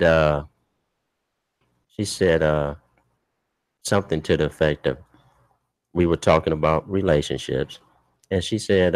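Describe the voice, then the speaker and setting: A middle-aged man hosting a talk radio show from a small studio, blunt and joking as he argues his point. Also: extremely low pitch. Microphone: studio boom mic with headphones.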